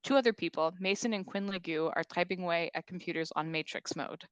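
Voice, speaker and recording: A woman's voice: on the low side, fast talker, clean tone, no echo. A woman reading stage directions at a comedy table read, in an informal, playful way.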